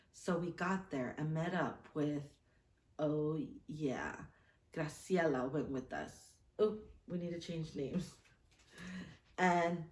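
Woman, late 30s, lowish voice, expressive casual read-aloud, roomy distant mic. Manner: expressive, giggly, self-interrupting. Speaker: vlogger mom reading her old journal. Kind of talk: reading a diary aloud with casual asides. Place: small indoor room, seated at a table.

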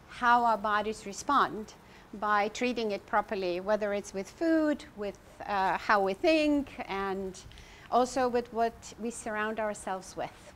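A woman's voice: fairly high pitch, fairly bright tone, slight background noise. A woman in her fifties, originally from Croatia, giving an informal wellness lecture with many fillers and restarts, gesturing as she speaks. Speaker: foundation president giving a lecture. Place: indoor pavilion room in a park.

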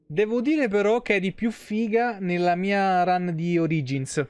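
Higher-voiced Roman man, casual streamer. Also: a little slow, darkish tone, clear, resonant tone, dry recording. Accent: Roman Italian. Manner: colloquial, slangy, rambling. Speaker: game streamer. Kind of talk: casual live gameplay commentary.

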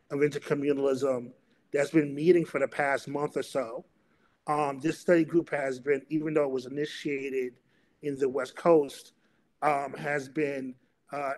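A middle-aged man moderating a panel and introducing its topic and speakers, in a halting delivery that often repeats words. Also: fairly high pitch. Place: remote video call.

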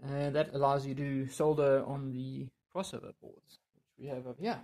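A man giving casual hands-on DIY commentary, muttering and thinking aloud as he works, with a gravelly, slightly husky voice. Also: mid-range voice, flat delivery, moderate pace, a little mellow. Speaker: DIY video maker. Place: indoors in a home room.

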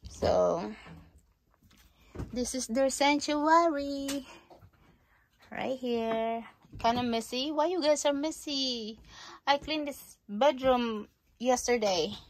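Slow-paced middle-aged woman vlogger. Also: high-pitched, slight background noise. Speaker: family vlogger. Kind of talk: casual home tour vlog narration. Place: inside and just outside an RV trailer. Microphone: phone at arm's length.

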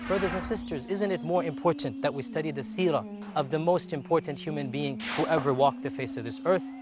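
A man's voice: a little high, a little fast. A man speaking as host in a show promo, earnestly inviting viewers to watch. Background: music.

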